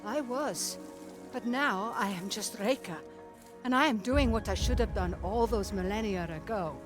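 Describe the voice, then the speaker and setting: An actress playing a stern, weary, ancient woman mentor who speaks in measured, formal phrases in a dramatic game cutscene. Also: mid-range voice, lightly acted. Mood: grave, resolute. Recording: music under the voice.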